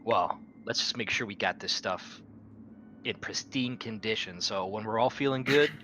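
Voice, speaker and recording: Male roleplayer, fairly low but forward voice, laid-back salty chat, slight background noise. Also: moderate pace, bright, forceful tone. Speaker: player in a tabletop roleplaying game. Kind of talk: casual in-character game chat.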